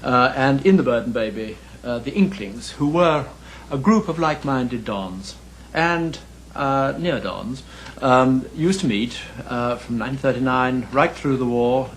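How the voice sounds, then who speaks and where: An older man reminiscing in a pub interview, speaking in a halting, hesitant way. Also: mid-range voice, animated, rough recording. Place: inside a pub. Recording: clear background noise.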